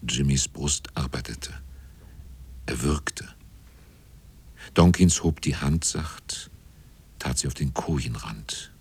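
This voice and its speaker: An actor narrating a radio drama as a grave seaman, with a husky, often hushed delivery. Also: extremely low pitch, slow pace, long pauses, heavily acted. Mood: hushed, tense.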